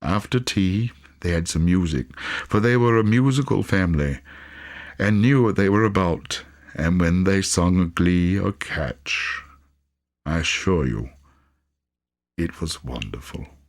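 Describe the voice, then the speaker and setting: Deep-voiced man reading a classic story. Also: measured pace. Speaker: audiobook reader. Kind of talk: expressive literary storytelling.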